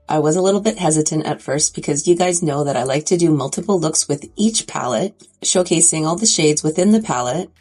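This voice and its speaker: A woman's voice: deep voice, darkish tone, breathy edge. A congested woman chatting casually and enthusiastically in a makeup review video. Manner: chatty, enthusiastic about swatches. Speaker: beauty vlogger.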